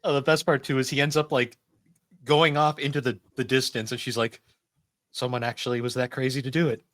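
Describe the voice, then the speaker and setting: A man co-hosting a podcast, telling stories in a casual, excitable way, laughing and swearing. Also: medium pitch, a little fast, dry recording. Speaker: podcast co-host. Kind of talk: casual chat.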